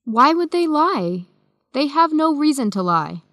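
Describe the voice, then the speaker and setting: A young woman in a radio drama, principled and direct. Her voice is a touch high and firm, captured in a dry studio. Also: very animated, measured pace, a bit bright, no echo, full character voice. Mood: firm, indignant. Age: young adult. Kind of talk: educational radio drama acting.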